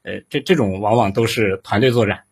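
A man in a conversational discussion, explaining his view haltingly with repeated phrases. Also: lowish voice, darkish tone, slightly rough.

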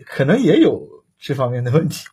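Raspy-edged man chatting on a panel. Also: mid-range voice, big pitch swings, mellow. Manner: halting, repetitive, searching for words. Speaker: talk show panelist. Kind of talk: casual livestream discussion.

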